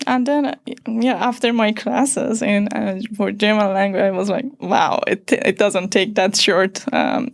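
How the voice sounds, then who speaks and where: A woman in her thirties from Iran, a native Persian speaker, talking in a hesitant, halting way with many fillers as an interview guest. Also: medium pitch, steady tone, vocal fry, no room sound. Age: 30s. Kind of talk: casual interview conversation.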